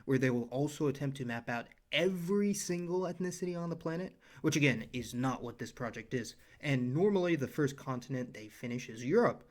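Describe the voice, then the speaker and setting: A man's voice: fairly high pitch, darkish tone. A young adult man narrating his own educational video, with earnest explanations and personal asides. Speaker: channel creator and narrator. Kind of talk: scripted educational voice-over.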